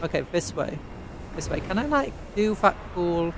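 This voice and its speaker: A British man with a high-pitched voice commentates casually over his own gameplay. He is playful and childlike, slipping into exclamations and sing-song nonsense sounds. Clear vehicle noise from the game runs behind him. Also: lively intonation, moderate pace. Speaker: streamer playing a video game. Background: video game vehicle noise.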